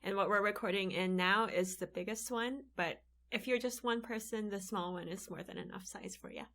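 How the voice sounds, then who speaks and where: Podcast cohost from the philippines, earnest and encouraging, chatting in a library studio. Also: female voice, mid-range voice, normal speed, a bit bright. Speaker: podcast co-host. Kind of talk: casual podcast chat.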